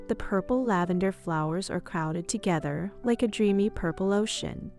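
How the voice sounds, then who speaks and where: A woman's voice: mid-range voice, darkish tone. Woman narrating a children's story over music.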